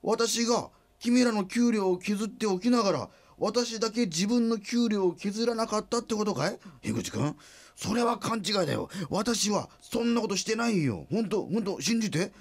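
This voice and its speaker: A man's voice: high-pitched, expressive, normal speed, no room sound. Flustered, stammering male company boss. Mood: panicky protesting. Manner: stammering, babbling repeated words, wheedling. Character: flustered, sheepish male company president, middle-aged, well-meaning. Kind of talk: comedic radio drama dialogue.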